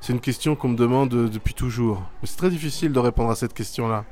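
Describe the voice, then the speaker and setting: Thoughtful man with frequent pauses. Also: medium pitch, a little mellow, a little hiss or hum. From Belgium. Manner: thoughtful, hesitant with many fillers. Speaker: theatre artist and teacher. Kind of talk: school media interview, reflective answers.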